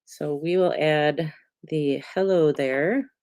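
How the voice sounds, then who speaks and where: Woman, low voice, congested, chatty crafting tutorial, dry close sound. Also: takes it slowly, no echo. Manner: chatty, relaxed, many ums, talks while working. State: congested, getting over a cold. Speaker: card-making demonstrator.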